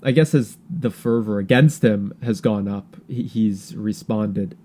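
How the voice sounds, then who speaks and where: A man co-hosting a podcast in casual political chat, halting and repeating words as he searches for his thoughts. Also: fairly low pitch, warm tone. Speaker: podcast co-host.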